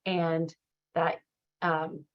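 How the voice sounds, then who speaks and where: A woman leading a professional training, explaining slides in a conversational, supportive way, with a slightly raspy voice that is starting to give out. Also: low-pitched, level tone, very slow. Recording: dull, muffled lo-fi audio.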